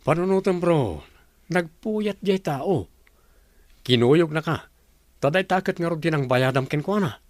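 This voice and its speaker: A man's voice: fairly high pitch, animated, unhurried, pauses often, no room sound. An actor with an Ilocano Filipino accent playing a brash young man scolding his buddy in a radio drama. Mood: scolding, incredulous.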